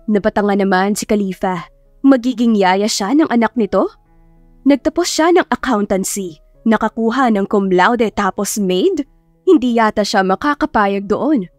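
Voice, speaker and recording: A woman's voice: medium pitch, animated, normal speed, a little mellow, no room sound, soft background music. A woman with a Filipino accent narrating a scripted story in a dramatic storytelling style. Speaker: audiobook narrator.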